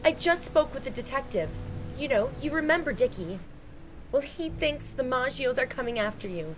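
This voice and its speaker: A woman's voice: high voice, animated, moderate pace, noisy background. A young woman playing a distraught, lovesick film-noir dame, pleading and reproachful in a melodramatic style. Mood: urgent. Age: young adult.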